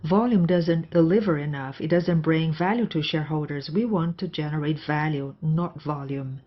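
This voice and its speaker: A woman's voice: deep voice, normal speed. A woman interpreting live on a corporate earnings call, speaking phrase by phrase with occasional self-corrections. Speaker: simultaneous interpreter. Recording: dull, lo-fi conference call audio.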